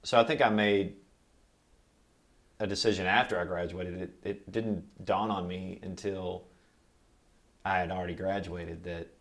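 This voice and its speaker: An American man giving a recorded oral history interview, recalling his life in a measured, reflective way. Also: deep voice, fairly even intonation, a bit bright. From Kentucky, United States. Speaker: interviewee, military veteran.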